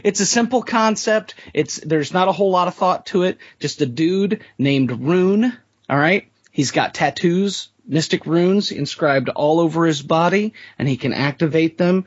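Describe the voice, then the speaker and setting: A man talking casually as a player in a tabletop role-playing game, informal and jokey, thinking out loud as he describes a character. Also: a little high, measured pace, slightly rough, no room sound, muffled recording.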